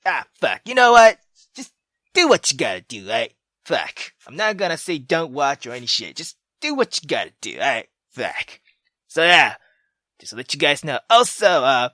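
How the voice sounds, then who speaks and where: A man's voice: high voice, pushed and bright, dry recording, studio quality. A man hosting a casual gameplay video, rambling with lots of fillers and casual swearing. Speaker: gaming channel host.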